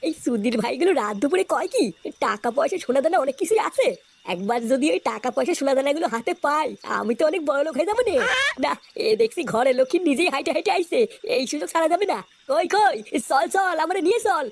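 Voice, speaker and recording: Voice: high voice, expressive, quick pace. A performer voicing a grumpy, greedy, cowardly village headman in a comic cartoon dub.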